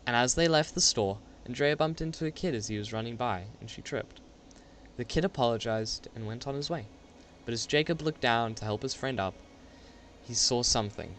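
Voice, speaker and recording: A man's voice: medium pitch, normal speed, fairly bright tone, a little hiss or hum. A young man reading scripted dramatic narration for a student radio play. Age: young adult.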